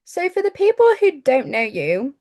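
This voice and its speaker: A woman's voice: high-pitched, wildly swooping pitch, normal speed, no echo, lo-fi sound. A woman hosting a podcast, interviewing a guest in a conversational way. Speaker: podcast host.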